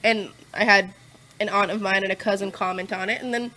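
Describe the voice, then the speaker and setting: A woman guest on a casual podcast, talking in a relaxed, rambling way and swearing freely as she tells her stories. She often trails off with fillers and breaks into laughter. Her pitch sits at an ordinary middle level for a woman. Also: fairly quick, rough recording. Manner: laid-back, profane storytelling with frequent fillers and laughs. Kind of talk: casual chat.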